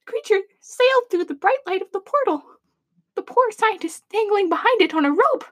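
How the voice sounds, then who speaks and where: Woman playing a high, animated radio announcer. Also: fairly quick. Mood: mock tragic. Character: chirpy, flustered government radio announcer of a dystopian town. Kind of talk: scripted comic audio drama, mock radio broadcast.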